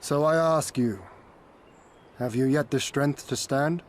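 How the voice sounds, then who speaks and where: An actor as a slow, solemn male leader. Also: medium pitch, slight background noise, close to an everyday voice. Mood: stirring, resolute. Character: solemn, earnest male leader of a liberated homeland. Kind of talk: dramatic video game cutscene acting.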